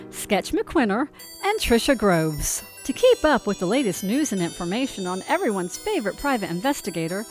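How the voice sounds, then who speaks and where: A woman announcer reading scripted credits for a radio drama. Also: medium pitch. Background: music.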